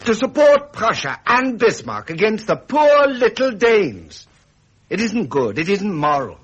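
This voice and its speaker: An actor playing a frustrated young prince in a period radio drama, with an upper-class English accent and an impassioned, theatrical manner. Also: male voice, sits high, very animated, measured pace, slightly rough, dull audio, heavily acted. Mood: indignant. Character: frustrated young British prince, ardent and indignant.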